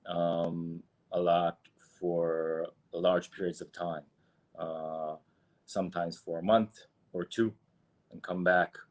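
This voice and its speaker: A man's voice: low-pitched, level tone, takes it slowly. A man from Israel with an Israeli accent, speaking English as a guest in a casual interview, hesitant with many restarts. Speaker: guest, agency founder.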